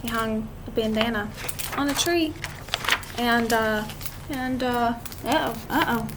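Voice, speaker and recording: Woman storyteller for children, slightly high and unhurried, expressive with conversational asides, clear background noise. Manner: expressive, drawn-out reading, talking to young listeners. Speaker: read-aloud storyteller. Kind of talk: picture-book read-aloud for children with chatty asides. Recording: clearly noisy amateur recording.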